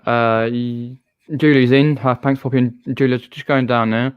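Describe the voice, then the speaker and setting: A man's voice: mid-range voice, fairly even intonation, normal speed, clean tone, no room sound, lo-fi sound. A man with a southern English accent hosting a casual live-stream chat, rambling and chatty with frequent restarts. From southern England.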